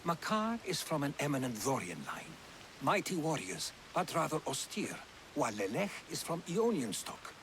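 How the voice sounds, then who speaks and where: An actor playing a calm, learned man explaining a dispute in a video game, in a measured way. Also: a little high, a little hiss or hum.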